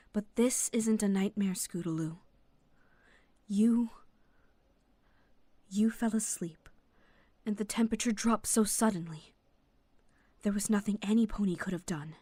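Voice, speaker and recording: A woman playing an ancient, gentle, sorrowful winged mare in an audio drama, softly consoling in a breathy voice. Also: medium pitch, a little slow, long pauses, dry recording. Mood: sorrowful, tender.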